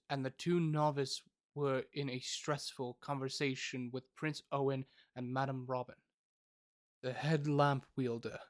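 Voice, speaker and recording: A man acting as the formal, measured narrator of a fantasy audio drama, recounting events in the first person. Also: mid-range voice, clear, resonant tone, no room sound, clean studio sound, natural voice.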